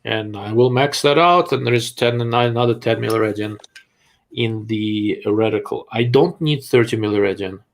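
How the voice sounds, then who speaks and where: A man with a Russian accent hosts a live question-and-answer stream, giving blunt, dry answers. His mid-pitched voice has a gravelly edge. He talks plainly and is quick to call ideas out. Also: a little hiss or hum. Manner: blunt, dryly sarcastic. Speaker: host.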